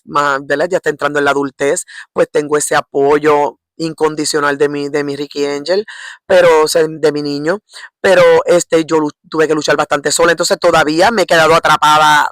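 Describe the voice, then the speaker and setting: Low-voiced Puerto Rican woman preaching informally. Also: fairly bright tone, dry recording. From Puerto Rico. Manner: halting, repetitive, seeks agreement with short tag questions. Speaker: lay preacher. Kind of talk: informal religious exhortation.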